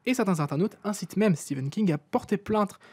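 Young french guy doing a radio web news bit, kinda raspy, dry studio mic. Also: sits high, animated, brisk, darkish tone, dry recording. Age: young adult. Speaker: radio social media columnist. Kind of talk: light radio news chronicle. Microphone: studio mic with headset monitoring.

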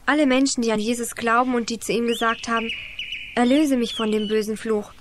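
Earnest high-voiced child acted by a female player. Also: fairly even intonation, fairly quick, noisy background, close to an everyday voice. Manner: earnest, explanatory. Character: earnest devout child explaining faith to a friend. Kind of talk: children's radio play acting. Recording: 1980s radio play, birdsong behind.

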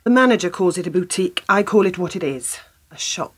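A woman's voice: lowish voice, expressive, brisk, breathy edge. An actress playing a wary, sardonic young working woman in a radio play, curt, dry and challenging. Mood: irritated, sardonic.

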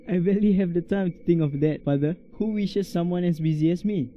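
A man's voice: high-pitched, a little fast, dull audio, slight background noise. A young man playing an earnest young army lieutenant in an amateur radio play, speaking in formal, dutiful phrases. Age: young adult. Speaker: student radio play actor. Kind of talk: amateur radio drama acting.

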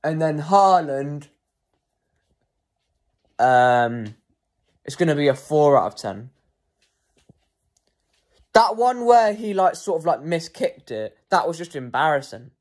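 A man's voice: on the high side, expressive, slow, pauses often. A young man giving casual post-match player ratings as a football fan, hesitant with frequent ums and self-corrections.

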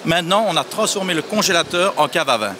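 A man's voice: a little high, expressive, quick pace, a little hiss or hum. A man in his thirties, a sales representative explaining a product feature by feature at a trade fair stand. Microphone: handheld microphone held by interviewer.

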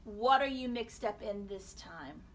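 A woman's voice: medium pitch, lively intonation, unhurried, recorded from a distance, natural voice. A woman voicing a suspicious, urgent mother confronting her son in a storybook. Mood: accusing. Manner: urgent, accusing.

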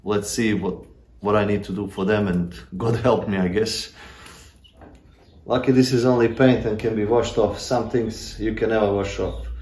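A man's voice: on the low side, steady tone, normal speed, a little mellow. A man in his thirties vlogging from a stone workshop shed, chatting casually and self-deprecatingly with many fillers while he works, on a phone held at arm's length. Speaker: homesteading vlogger. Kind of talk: casual talk to camera while working. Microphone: handheld phone camera at arm's length. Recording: echoey shed with faint background noise.

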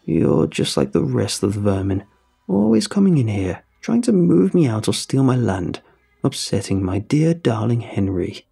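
Casting: male narrator as a gloating old spider-hag, croaky and gravelly, dark tone, sweet menace in a spoken horror story. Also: lowish voice, sing-song, moderate pace, full character voice. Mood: sneering. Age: over 60. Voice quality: croaking, wobbling crickety tone. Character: spidery old woman monster, sly and gloating.

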